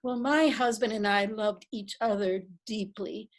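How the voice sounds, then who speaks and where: A woman's voice: medium pitch, measured pace, close to an everyday voice. A woman reading a widow who doubts her late husband's spirit is near, in a plaintive tone. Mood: doubtful. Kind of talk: readers theatre reading.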